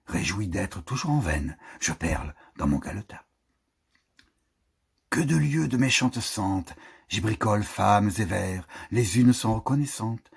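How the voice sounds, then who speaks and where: Male poetry reader, very husky low voice, theatrical and declamatory, with lively swings between swells and hushed endings. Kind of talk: theatrical, expressive poetry recitation.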